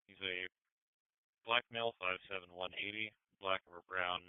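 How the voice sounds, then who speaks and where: A man's voice: deep voice, fairly even intonation, normal speed, clean tone, no echo. A man speaking in clipped dispatch talk over a police two-way radio. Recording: narrow phone-like police scanner radio.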